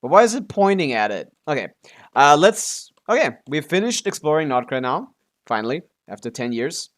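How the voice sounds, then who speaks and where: A man's voice: fairly high pitch, very animated, moderate pace. A man chatting casually on a gaming livestream as the streamer, often muttering to himself and swearing offhandedly. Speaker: live streamer.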